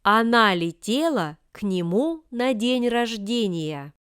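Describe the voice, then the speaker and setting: A woman reads short example sentences for a language lesson. Her delivery is slow and deliberate, with every word carefully formed. The voice is crisp and smooth, in a dry recording with no room sound. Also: a little high, lively intonation, a bit bright, no room sound. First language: Russian. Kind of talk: scripted example sentences read for learners.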